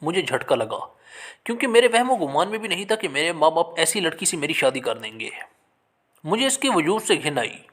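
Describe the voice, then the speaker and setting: Male storyteller, slightly high-pitched and very expressive in intonation, for emotional first-person dramatic narration. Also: a little fast.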